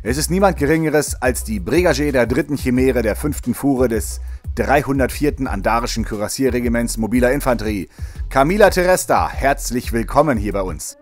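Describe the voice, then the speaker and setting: A man's voice: medium pitch, expressive, brisk, soft background music, close to an everyday voice. A man playing a peppy, jovial propaganda host of a military morning radio show, with showy, chummy presenter patter. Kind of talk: radio show moderation in audio drama.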